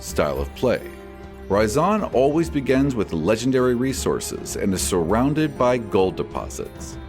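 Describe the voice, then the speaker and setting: A man's voice: mid-range voice, sing-song, unhurried, a little mellow, faint music behind. A man reading out fan questions and scripted answers as a podcast host and game master, in an articulate, expository way.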